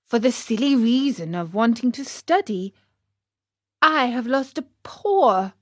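A sly, lame storybook fox, as a woman reader puts him on. He talks slowly and courteously, drawing out his words to win trust like a swindler. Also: on the high side, expressive, dry recording, clean studio sound. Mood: mock-sorrowful. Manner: slow, drawn-out, ingratiating.

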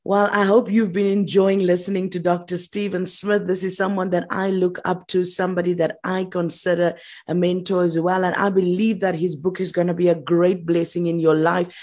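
A woman hosting an interview, introducing her guest admiringly and asking measured questions. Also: fairly low pitch, level tone, darkish tone. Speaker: radio host.

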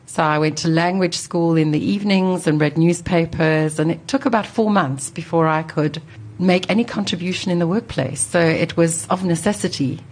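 Woman in her 60s, pretty low voice, telling her life story in an interview, thoughtful and articulate. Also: moderate pace, a little mellow. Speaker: interview guest. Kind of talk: reflective personal storytelling in an interview.

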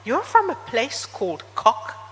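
A woman's voice: a little high, sing-song, unhurried, a bit bright, pitched above the performer's own voice. Woman reading as a terse, wary taxi driver, echoey hall. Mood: puzzled. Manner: terse, questioning. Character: huge, scarred, wary male South African taxi driver. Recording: echoey hall, background noise.

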